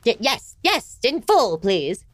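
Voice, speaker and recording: An actress playing an imperious, easily exasperated villainous empress in a comedic fantasy audio drama, haughty, theatrical and mercurial. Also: female voice, on the high side, sing-song, takes it slowly, no room sound, clean studio sound, full character voice. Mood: excited.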